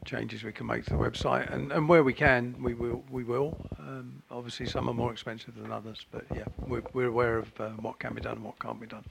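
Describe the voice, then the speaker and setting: A man on the panel of a public meeting, answering in a steady, reassuring way. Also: mid-range voice, brisk, gravelly, few pauses, noisy background. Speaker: organizer on the panel. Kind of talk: meeting discussion.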